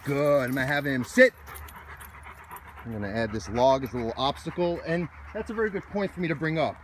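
A man's voice: medium pitch, animated, brisk, a little hiss or hum. A man with an American accent, a dog trainer explaining obedience steps while walking his dog on a hiking trail and calling commands to it. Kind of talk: outdoor training demonstration.

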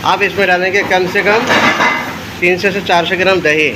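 Higher-voiced man over loud kitchen noise. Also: fairly even intonation, normal speed. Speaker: cook presenting a recipe. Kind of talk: casual cooking demonstration narration. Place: restaurant kitchen, indoors. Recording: noisy kitchen, loud background roar.

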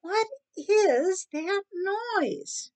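A woman voicing a hungry, vain and menacing female cat in a folktale, with drawn-out, demanding, emphatic delivery. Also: very high voice, expressive, a little mellow, voice pushed up, heavily acted. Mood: puzzled, woken. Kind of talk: oral folktale character voice.

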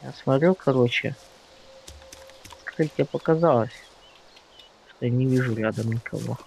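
A man's voice: medium pitch, normal speed, creaky, darkish tone, slight background noise, low-quality recording. A man talking casually with his teammates as they play a game. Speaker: gamer in a group session. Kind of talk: casual gaming chat.

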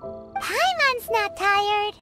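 A woman voicing a tiny, cheeky, excitable fairy girl with a squeaky childlike voice in a video game. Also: drawn-out words, over music, full character voice. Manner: refers to self by name, excitable. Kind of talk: English game dub acting.

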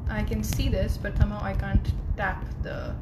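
A woman's voice: mid-range voice, flat delivery, normal speed, very noisy. A woman, hosting a casual live interview from a room at home on a phone. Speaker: host and interviewer. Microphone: phone at arm's length.

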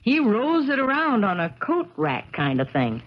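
An actress playing a chatty, breezy wife in a 1970s radio drama, with a teasing, forthright way of talking. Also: female voice, on the high side, wildly swooping pitch, normal speed. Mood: gossipy. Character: chatty, breezy wife from the neighboring summer cabin. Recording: crackly, muffled 1970s radio recording.

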